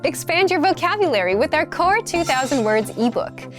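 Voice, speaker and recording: A woman's voice: sits high, sing-song, moderate pace. A woman reading a scripted promotional voice-over as an announcer for a learning program. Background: faint music.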